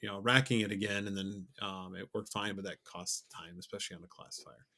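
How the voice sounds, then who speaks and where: A young man co-hosting a podcast, talking in a relaxed, rambling way with many fillers. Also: low voice, brisk. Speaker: podcast co-host. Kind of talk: casual chat.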